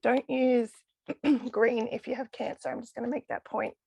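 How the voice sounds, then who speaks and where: A woman's voice: a little high, fairly even intonation, moderate pace, creaky, a little mellow, breathy edge, muffled recording. A woman losing her voice, talking enthusiastically about crystal healing as a podcast guest. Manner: enthusiastic, chatty with frequent laughs. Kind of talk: casual podcast interview.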